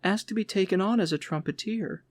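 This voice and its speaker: An eager young woman from a storybook, voiced by a man, pitched on the high side. The delivery is friendly and chatty, full of enthusiasm, in a dry, close recording. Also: brisk, no echo, natural voice. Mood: enthusiastic. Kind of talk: audiobook character voice.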